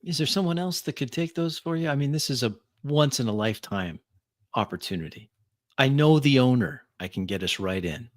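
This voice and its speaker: A man playing a smooth, flattering biker who speaks haltingly and coaxingly in a tabletop roleplaying game. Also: mid-range voice, no echo, studio quality, pitched above the performer's own voice, close to an everyday voice. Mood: eager persuasion. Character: smooth, coaxing male biker courting a singer.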